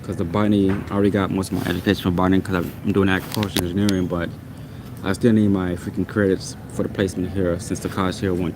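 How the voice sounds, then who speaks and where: Deep-voiced man ranting over traffic noise. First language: Portuguese. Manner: exasperated, rambling, repeats himself. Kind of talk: casual ranting monologue.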